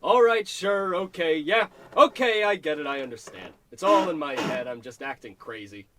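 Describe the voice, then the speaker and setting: A man's voice: a little high, expressive, normal speed, a crowd in the background, close to an everyday voice. An actor playing a nervous, whiny salesman in a parody old-time radio comedy, anxious and easily exasperated. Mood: worried, uneasy. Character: nervous, jumpy male travelling salesman, whiny sidekick.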